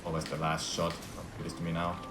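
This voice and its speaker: A man's voice: low-pitched, completely flat delivery, quick pace, echoey room, over music. A Finnish man with a Finnish accent, a speedrunner chatting casually and laughing often as he plays live. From Finland. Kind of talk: casual commentary while gaming.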